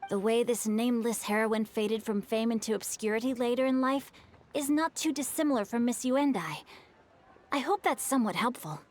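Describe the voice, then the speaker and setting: A woman's voice: a little high, normal speed, close to an everyday voice. A woman voicing a polite, bookish young man in a video game, speaking in formal, thoughtful, storytelling phrases. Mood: measured, curious. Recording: game dialogue over background music. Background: game music.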